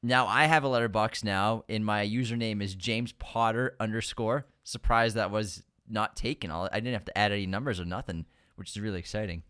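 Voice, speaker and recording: A young man chats about films as a podcast co-host, breaking into quick excited bursts and laughter. His voice is smooth and clear. The recording is dry and close, with no room sound. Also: lowish voice, dry recording. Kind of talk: casual chat.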